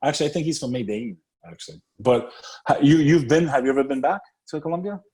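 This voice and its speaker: A man's voice: a little high, fast talker, dark tone. A male podcast host in conversation with a guest. He talks casually and streetwise, swears freely and circles back over his own phrases as he goes. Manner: streetwise, profane, repeats phrases. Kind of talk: casual interview.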